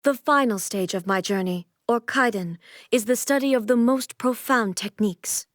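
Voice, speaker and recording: Regal, aloof female shogun, fairly high voice, acted by a woman. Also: no echo, clean studio sound, natural voice. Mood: proud, instructive. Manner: formal, measured, stately delivery. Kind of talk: video game character voice lines.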